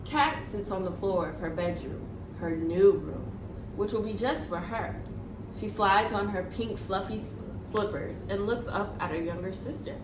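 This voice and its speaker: A woman's voice: medium pitch, a little slow. A woman hosting a children's read-aloud at home, friendly and chatty as she reads a picture book. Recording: echoey room, distant mic, background noise.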